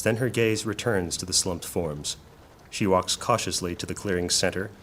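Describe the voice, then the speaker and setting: A man narrating a scripted fantasy audio drama in a deliberate, descriptive storytelling manner. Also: fairly low pitch, fairly bright tone, a little hiss or hum.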